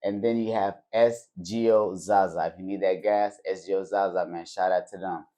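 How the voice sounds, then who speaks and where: Male podcast host, lowish voice, easygoing hype. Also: steady tone, normal speed. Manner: hype, laid-back, lots of shout-outs. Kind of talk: casual interview chat.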